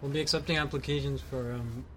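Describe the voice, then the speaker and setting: This man co-hosts a homemade radio show in a casual, wry way, dropping deadpan quips. His pitch is middling, with faint background noise behind him. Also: quick pace. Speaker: co-host. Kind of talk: casual chat.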